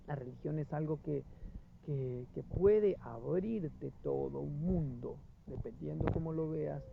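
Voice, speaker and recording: A man hosting a reflective spiritual talk, speaking unhurriedly and repeating phrases as he searches for his thoughts. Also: fairly high pitch. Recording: dull lo-fi with clear background noise.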